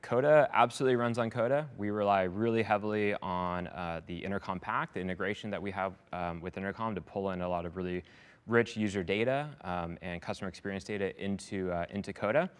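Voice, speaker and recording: A man giving polished, upbeat answers in a business interview, speaking as a software company executive. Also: low-pitched, fast talker, clean tone. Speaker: head of sales, success and support at a software company, interviewed as a customer.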